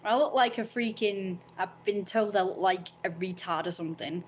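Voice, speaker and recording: A young woman talks straight to camera in a loose, rambling way, blunt and unfiltered, swearing freely. Her voice sits in an ordinary middle pitch. A faint hum runs under the recording. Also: moderate pace, highs cut off. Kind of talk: casual vlog monologue.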